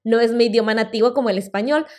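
Woman, 30s, Colombian accent, podcast host, casual storytelling, slightly high voice. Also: fast talker, a little mellow. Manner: chatty and personal, uses fillers like "eh" and "pues".

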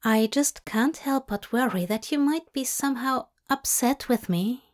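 A woman voicing a fussy, gentle male angel, speaking softly and primly. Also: on the high side, unhurried, lightly acted. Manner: gentle, cooing, prim.

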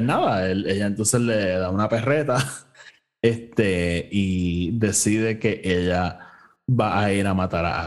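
A Puerto Rican man co-hosting a podcast, casually recapping a story and slipping between Spanish and English. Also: mid-range voice. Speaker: podcast co-host.

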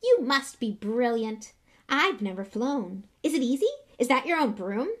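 A woman voicing an excitable young schoolboy fan who gushes questions in an eager, breathless rush. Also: on the high side, unhurried, pitched above the performer's own voice. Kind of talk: audiobook character voice.

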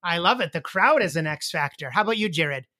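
Late 40s host, easygoing, leads the chat, bright tone, no room sound. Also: mid-range voice, fairly quick, no echo, studio quality. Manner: relaxed, leads the questions. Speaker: podcast host. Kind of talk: casual panel chat.

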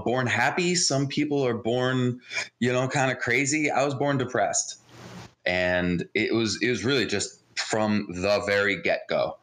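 A man's voice: fairly low pitch, fairly bright tone. A man with an American accent, raised in New Jersey, speaking casually and candidly as a podcast guest telling personal stories. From born in Israel, raised in New Jersey. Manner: informal, candid. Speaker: podcast guest, mindset coach. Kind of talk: casual conversational personal storytelling.

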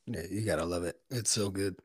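A man co-hosting a casual chat, talking in a halting, unhurried way. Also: low-pitched, husky, no room sound, clean studio sound. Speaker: podcast co-host.

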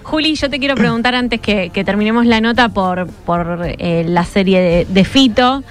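A woman's voice: medium pitch, normal speed, over music. A woman with an Argentine Rioplatense accent asking questions in a casual radio interview.

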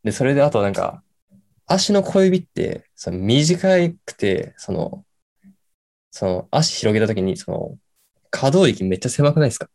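A man playing a late-night radio host who rambles haltingly in casual chat, in an amateur radio drama. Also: mid-range voice, sing-song, a little mellow. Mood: musing, rambling. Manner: halting, filler-heavy, then bursts of words.

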